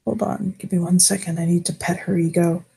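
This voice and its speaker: A woman's voice: fairly low pitch, flat delivery, vocal fry, dark tone, husky. A woman playing a cat character in a tabletop roleplaying game, laughing often and dropping into whispers. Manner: giggly, quick asides, drops into whispers. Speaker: player.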